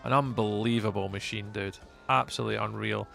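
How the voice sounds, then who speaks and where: Scottish male streamer, gravelly and bright, chatty, rambling, lots of ums. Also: lowish voice, steady tone, brisk, soft background music. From Scotland. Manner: rambling and chatty, many fillers and restarts. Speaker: live streamer. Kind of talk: casual chat with live chat while gaming.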